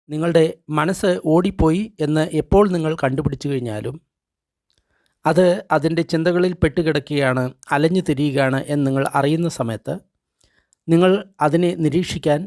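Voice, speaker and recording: A man guiding a meditation, reading instructions gently and deliberately in short phrases with pauses. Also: fairly high pitch, no room sound, clean studio sound. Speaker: meditation guide. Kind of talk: guided meditation narration, translated text read aloud.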